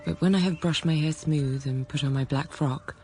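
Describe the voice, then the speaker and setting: An actress playing a restless, self-controlled young governess in a radio drama, speaking in a measured, introspective way. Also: female voice, low-pitched, a little mellow. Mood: quietly observant. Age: young adult. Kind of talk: radio drama, first-person literary narration and dialogue. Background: background music.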